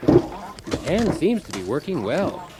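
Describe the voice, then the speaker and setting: A man's voice: fairly high pitch, slow pace, heavy background noise. A man playing a chipper, unflappable husband who hosts a comic do-it-yourself radio show in a cheerfully formal instructional way. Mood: chipper, instructive. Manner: cheerfully formal instructional delivery, calm amid disasters. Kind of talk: radio comedy sketch acting, parody how-to narration.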